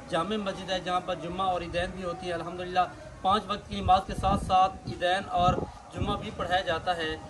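A man with a fairly high voice presents a charity appeal in the field. He sounds devout and earnest, circling back over his points, with clear background noise around him. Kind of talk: spoken appeal to camera with on-site interviews. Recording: noisy field recording with vehicle sounds.

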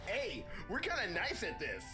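A man voicing a cocky, mocking cartoon hedgehog hero in a comedic animated parody. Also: sits high, moderate pace. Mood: smug. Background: music.

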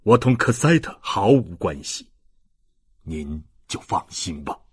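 A man voicing a sorrowful old ex-convict in an audiobook, solemn and halting, with a husky voice. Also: deep voice, very animated, no room sound, clean studio sound, pitched below the performer's own voice, heavily acted. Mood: solemn, firm. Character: sorrowful old male ex-convict, grave, confessing.

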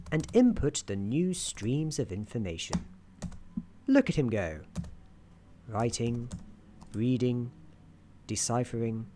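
Soft-spoken ASMR narrator, dark mellow tone, gentle and deliberate, expressive pitch, keyboard clicks and faint noise behind. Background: computer keyboard typing.

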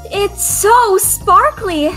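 A woman voicing an excitable, wide-eyed young tourist, bubbly and eager. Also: extremely high pitch, very slow, faint music behind, full character voice. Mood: excited.

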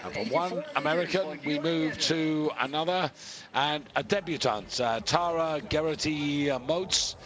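A man giving live, measured commentary on a ski jumping competition, explaining scores and distances with pauses and fillers. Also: on the high side, a crowd in the background. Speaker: sports commentator.

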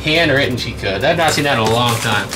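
A man's voice: medium pitch, lively intonation, quick pace. Chatty young man with music behind. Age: 20s. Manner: chatty, rambling, talks to viewers. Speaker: treasure-hunting vlogger. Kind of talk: casual running commentary. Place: indoors in a metal storage unit. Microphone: handheld camera mic.

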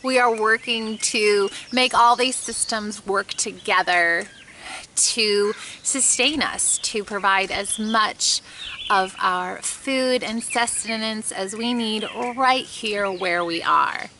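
A woman's voice: mid-range voice, slow, noisy background. A woman in her thirties talking casually to camera in her backyard, earnest and chatty, with small laughs. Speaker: homestead vlogger. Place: outdoors in a grassy backyard beside a chicken coop. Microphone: camera mic at close framing.

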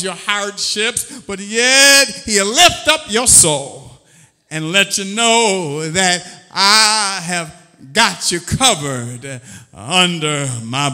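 A man's voice: high-pitched, bright, forceful tone, in a large hall. A man preaching a sermon as pastor, fervent and drawn out, calling out "oh Lord" between phrases. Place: church sanctuary.

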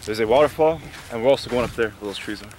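Brief: man off-mic behind the camera, fast and offhand, distant outdoor sound with faint noise. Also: mid-range voice, darkish tone. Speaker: camera operator. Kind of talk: casual chat. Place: outdoor canyon hiking trail.

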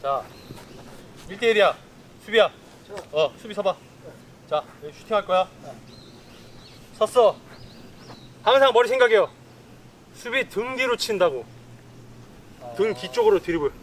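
A man in his thirties coaching football players on an outdoor pitch, giving brisk instructions and commands, recorded from a distant camera. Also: lively intonation, a little hiss or hum.

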